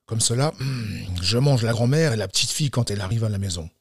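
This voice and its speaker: A man's voice: slightly rough, no echo, clean studio sound. A man voicing a big, sly, boastful wolf in a children's fairy tale, with a smug, scheming drawl. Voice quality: deep voice in the story, slow deliberate delivery.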